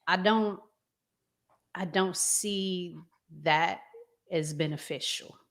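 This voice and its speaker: A woman hosting a casual discussion, talking in a measured, deliberate way with pauses. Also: on the low side. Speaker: host.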